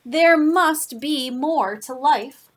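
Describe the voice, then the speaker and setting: A woman's voice: fairly high pitch, very animated, slow pace, full character voice. A woman voicing a dissatisfied talking shape from a storybook, drawn out and wishful, always wanting more. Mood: grumbling, discontented.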